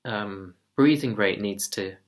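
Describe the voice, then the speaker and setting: A man giving a casual personal update in a slow, monotone, calm voice. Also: lowish voice. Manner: soft-spoken, relaxed, occasional quiet laughs. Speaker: vlogger.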